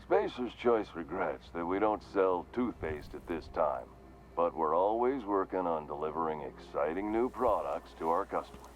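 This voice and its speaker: A man's voice: deep voice, animated, moderate pace, slight background noise. An actor playing a corporate vendor in a mascot helmet in a video game, with forced salesman patter over weary resignation. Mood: forced salesman cheer. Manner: forced salesman cheer with slogans, slipping into resignation. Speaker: shop vendor.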